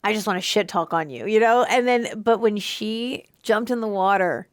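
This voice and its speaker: A woman's voice: medium pitch, normal speed, clean tone, dry recording, clean studio sound. A woman co-hosting a movie reaction chat, speaking emotionally and gushing, often repeating herself for emphasis. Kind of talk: casual chat.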